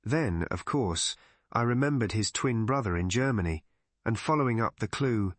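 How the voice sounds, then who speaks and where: A man's voice: fairly low pitch, animated, normal speed, dry recording. A man voicing an irreverent old police underling in a storybook, chatty, colloquial and matter-of-fact. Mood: chatty, explanatory.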